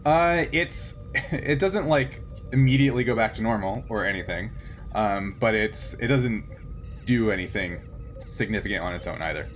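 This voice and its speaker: A man working as game master, narrating a tabletop game in a halting, conversational way with frequent chuckles. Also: lowish voice, animated, soft background music.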